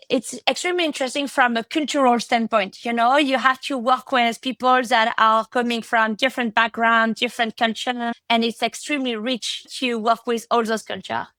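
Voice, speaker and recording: Guest voice: French-accented woman, thirties, higher pitch, dry close sound, chatty with lots of fillers. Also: fairly bright tone, no room sound. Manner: chatty, many fillers and restarts. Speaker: podcast guest, product executive. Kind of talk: casual interview talk.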